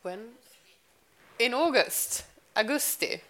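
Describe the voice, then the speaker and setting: A woman's voice: on the high side, lively intonation, takes it slowly, fairly bright tone. Woman moderating a book talk. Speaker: event moderator. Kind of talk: hosting a live book talk. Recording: faint audience noise, off-mic.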